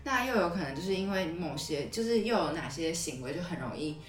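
Low-voiced woman podcast host, roomy sound. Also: animated, brisk, soft background music. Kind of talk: conversational podcast explaining dating advice. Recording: roomy echo, slightly distant mic.

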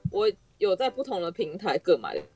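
A woman's voice: lowish voice, sing-song, fairly quick, a little mellow, faint music behind. A woman with a Taiwanese Mandarin accent hosting a casual podcast chat and putting questions to her guests. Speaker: podcast host.

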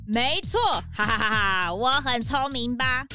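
A woman's voice: a little high, unhurried, pushed and bright. A woman playing a cheerful, proud young animal sidekick detective in a children's audio drama, playful and confident. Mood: triumphant, confident. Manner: playful, confident, declamatory when deducing. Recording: music behind.

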